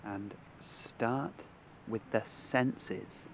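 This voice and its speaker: A man leading a guided meditation in measured, short phrases separated by long pauses, speaking outdoors. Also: on the low side, gravelly, slight background noise. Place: outdoors among trees.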